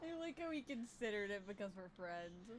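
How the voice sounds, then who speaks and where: A woman's voice: medium pitch, big pitch swings, moderate pace, very noisy. A woman gaming streamer giving casual, off-the-cuff playthrough commentary. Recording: loud game music behind.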